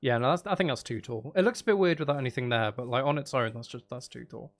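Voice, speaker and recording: A man's voice: mid-range voice, quick pace. A man chatting casually to viewers as he plays a building game, often murmuring half to himself and trailing off, with a self-deprecating manner. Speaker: live streamer playing a building game. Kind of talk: casual chat with viewers while gaming.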